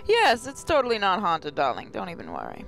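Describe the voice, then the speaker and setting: A woman's voice: on the low side, huge pitch swings, brisk, gravelly, fairly bright tone. Woman, game host, playful, sarcastic, faint music behind. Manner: playful, sarcastic asides to the story. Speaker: gaming channel host. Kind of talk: reading a visual novel aloud with asides.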